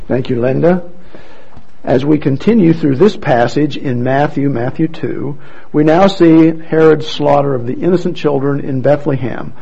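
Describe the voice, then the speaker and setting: A man introducing scripture readers at a church program, speaking in a relaxed way with light asides, on a muffled recording. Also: on the high side, normal speed, vocal fry, breathy edge. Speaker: worship leader introducing readers. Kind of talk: church service emcee.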